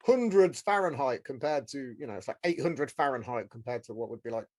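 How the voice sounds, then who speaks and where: A man hosting a podcast as a casual, blokey, excitable chat with plenty of swearing. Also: mid-range voice, a little fast, dry recording. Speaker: podcast host. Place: small studio room.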